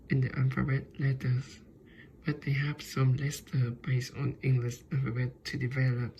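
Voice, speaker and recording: A man with a Vietnamese accent presents like a student in front of a class. His delivery is slow and hesitant, with little movement in pitch. The voice is soft-edged and mellow, recorded with slight background noise. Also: muffled recording. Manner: halting, hesitant delivery in non-native English.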